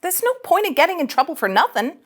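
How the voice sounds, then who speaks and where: A woman's voice: very high voice, very animated, fast talker. A woman playing a brash, irreverent young starship ensign in a sci-fi comedy, blunt and sarcastic. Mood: urgent, flippant. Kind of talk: animated sci-fi comedy table read.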